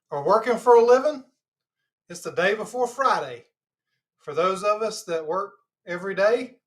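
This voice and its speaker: A Southern man from Georgia, stuffed up in the nose, hosting a live health chat in a relaxed, rambling way with lots of fillers. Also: sits high. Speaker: host of a live health channel. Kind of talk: casual livestream chat.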